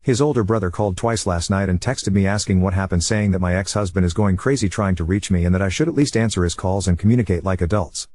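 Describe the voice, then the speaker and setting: A man reading a forum post aloud in a brisk, matter-of-fact way. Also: low-pitched, darkish tone, no room sound.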